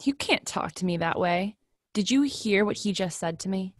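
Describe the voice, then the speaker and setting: An indignant woman commuter, acted in a script reading. Her mid-pitched voice is scornful and affronted, quick to take offence. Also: animated, natural voice. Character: irate, indignant female ferry commuter. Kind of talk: screenplay table read.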